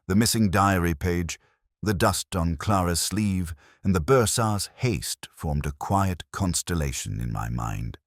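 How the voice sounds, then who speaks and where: Very deep-voiced man narrating a mystery. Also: no room sound, clean studio sound. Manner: measured, formal, reflective. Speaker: narrator of a detective story. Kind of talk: scripted literary mystery narration in first person.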